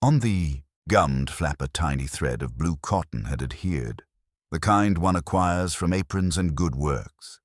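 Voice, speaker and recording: Very deep-voiced male storyteller. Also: lively intonation, a little slow, no room sound, studio quality. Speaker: narrator of a mystery story. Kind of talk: scripted storytelling narration, wry English period mystery.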